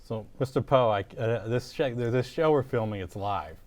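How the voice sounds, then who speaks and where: A man hosting an improvised comedy interview in a casual, playfully deadpan way. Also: medium pitch, moderate pace. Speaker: comic talk show host.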